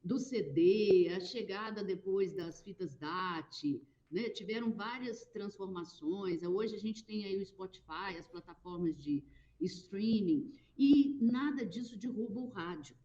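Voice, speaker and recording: A woman's voice: mid-range voice, unhurried, dark tone, highs cut off. A Brazilian woman guest from a radio station answering eagerly, telling its history. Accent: Brazilian Portuguese. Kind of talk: conversational interview answer.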